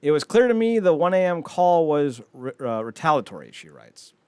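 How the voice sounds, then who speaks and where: A man's voice: fairly high pitch, very animated, normal speed, a little mellow, smooth, dry recording. A man in his thirties hosting a podcast, giving casual, wry and opinionated commentary on entertainment news with joking asides. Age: 30s. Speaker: podcast host.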